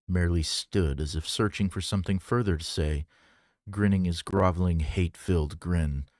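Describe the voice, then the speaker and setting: A man reading a novel aloud in a measured, dramatic storytelling manner. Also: sits low, darkish tone, dry recording, clean studio sound. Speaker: audiobook reader. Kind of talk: scripted fiction narration.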